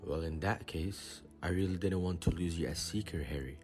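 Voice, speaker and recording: A man acting an earnest, excitable teenage sports captain in an audio drama. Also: deep voice, moderate pace, faint music behind, close to an everyday voice.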